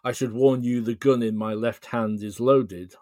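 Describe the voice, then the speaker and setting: A man's voice: medium pitch, fairly even intonation, unhurried, a little mellow, dry recording, studio quality. A man chatting as an interview guest, explaining things in a thoughtful, hesitant way. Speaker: podcast guest, writer. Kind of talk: casual interview chat.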